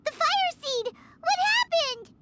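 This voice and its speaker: Tiny fairy companion, very high voice, excitable, third-person talk, light background noise. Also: female voice, takes it slowly, exaggerated voice. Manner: talks about herself in the third person, excitable. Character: tiny floating female companion sprite, anxious, chatty.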